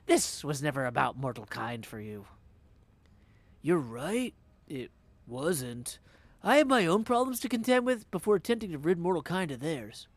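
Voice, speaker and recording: A man's voice: a little high, animated, moderate pace, dry recording. A man practising game voice acting as a solemn, proud mythic combatant, with formal, theatrical delivery. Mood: cold, defiant.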